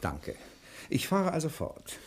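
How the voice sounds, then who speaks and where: An actor playing a cocky, quick-witted bank cashier in a 1960 radio crime drama, brisk, self-assured and commanding. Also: male voice, a little high, wildly swooping pitch, slightly rough, heavily acted. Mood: cocky, impatient.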